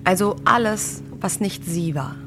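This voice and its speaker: A woman in her early thirties hosting a discussion in an articulate, measured way. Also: mid-range voice, music in the background. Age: early 30s. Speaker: podcast host. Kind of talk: scripted narration and conversation about books and society.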